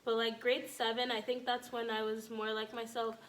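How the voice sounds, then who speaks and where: A woman's voice: a little high, level tone, moderate pace, fairly bright tone, slight background noise. A young adult woman telling personal stories casually as a podcast guest, in a chatty, informal, giggly way.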